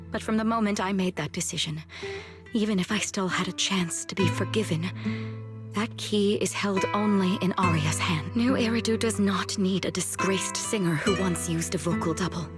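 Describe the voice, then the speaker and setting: A woman playing a gentle, self-reproaching retired singer in a video game, earnest and hesitant. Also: medium pitch, normal speed, music in the background, natural voice. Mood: regretful.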